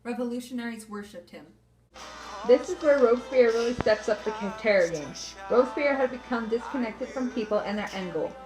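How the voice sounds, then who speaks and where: A woman's voice: a little high, a little fast, music in the background, rough recording. A woman reading scripted history narration as the narrator of a puppet show.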